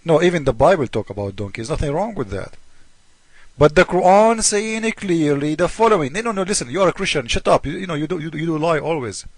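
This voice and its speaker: A man's voice: fairly high pitch, animated, fast talker, no echo. A man who speaks English as a second language gives informal polemical commentary on scripture, repeating himself in a mocking, theatrical way and acting out both sides of arguments. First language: not English. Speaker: host of a religious polemic video.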